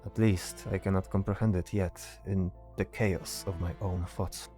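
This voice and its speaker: A man voicing a stoic, cryptic mage in a fantasy audio drama, calm and assured, with formal, deliberate phrasing. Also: low voice, soft background music, natural voice. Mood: calm, pensive.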